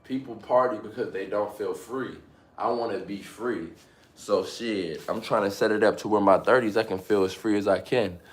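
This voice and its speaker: A young man in his late twenties, chatting on a live stream with a low voice. He keeps coming back to "you know what I mean" and says his encouraging lines more than once. The room around him is noticeably echoey. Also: fairly even intonation, normal speed. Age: 20s. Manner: repeats phrases, motivational, fillers like "you know what I'm saying". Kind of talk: casual live stream chat.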